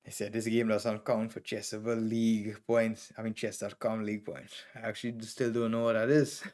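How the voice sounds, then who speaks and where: Chess master with a Trinidadian accent, a man with a lowish voice, thinking aloud through moves in short bursts. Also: level tone, moderate pace, darkish tone. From Trinidad and Tobago. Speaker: chess master streaming game reviews. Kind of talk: live commentary on chess games.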